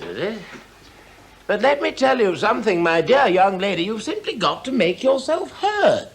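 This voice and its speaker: Wildly expressive, bossy male theatre director. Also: sits high, heavily acted. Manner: condescending, exclamatory, emphatic. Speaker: actor playing a stage director. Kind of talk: theatrical radio drama acting.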